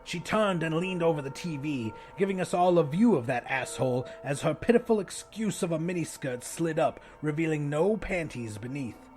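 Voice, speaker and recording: A man's voice: a little high, expressive, moderate pace, hardly any pauses, darkish tone, soft background music. A man narrating first-person fiction as a dramatic audiobook reader.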